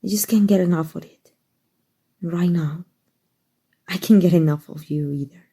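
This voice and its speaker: A woman voicing a kind, doting, aristocratic female vampire in a soft, gentle ASMR roleplay. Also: fairly low pitch, moderate pace. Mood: doting, courteous. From Mexico. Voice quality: breathy, soft. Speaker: voice actor.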